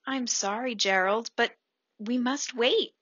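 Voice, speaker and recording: A woman voicing a cheerful, patient pink pig who calmly reassures her friend in a picture book. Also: fairly high pitch, slow pace, no room sound, muffled recording. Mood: soothing.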